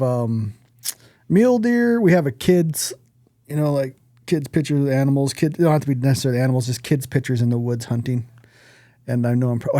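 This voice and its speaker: A man's voice: a little high, mellow, no room sound, clean studio sound. A man co-hosting a podcast, chatting casually in an informal, rambling way. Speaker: podcast co-host.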